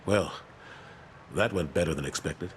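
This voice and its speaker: A man's voice: low-pitched, a little slow, a little hiss or hum. An actor playing a firm, plain-spoken older military man turned politician in a video game. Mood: calm, frank. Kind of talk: video game dialogue acting.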